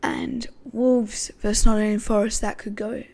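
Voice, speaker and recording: A woman hosting a casual football podcast, her voice croaky, thinking aloud with many hesitations. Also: medium pitch, level tone, moderate pace, dark tone. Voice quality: gravelly. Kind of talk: casual pre-match football talk.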